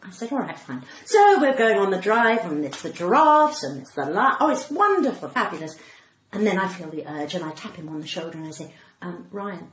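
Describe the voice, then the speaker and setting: A woman's voice: medium pitch, very animated, fairly quick. A middle-aged woman with a cut-glass British accent, a comedian telling stories in a theatrical, conversational way and acting out the dialogue. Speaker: comedian and podcast guest. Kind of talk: animated conversational storytelling. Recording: roomy echo, faint background noise.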